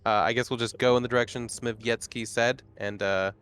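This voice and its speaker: A man's voice: mid-range voice, steady tone, fairly quick, a bit bright, clean tone. A man chatting casually as a player in a tabletop roleplaying game. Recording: background music under the voice.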